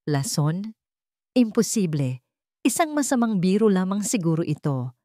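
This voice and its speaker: A woman voicing a young bride in a narrated love story, anxious yet quietly determined. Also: lowish voice, sing-song, moderate pace, dry recording, clean studio sound. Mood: disbelieving.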